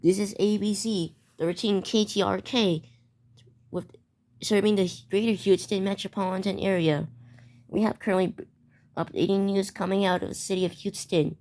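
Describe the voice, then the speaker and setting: A woman's voice: mid-range voice, fairly even intonation, normal speed, warm tone. A woman delivering an amateur mock emergency broadcast as a news anchor, stumbling and repeating phrases as she goes.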